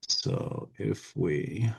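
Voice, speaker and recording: A man's voice: lowish voice, very slow, vocal fry, slightly rough. A man hosting a casual talk show, thinking aloud as he chats and reads bits from a magazine. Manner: thinking aloud while working. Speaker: podcast host.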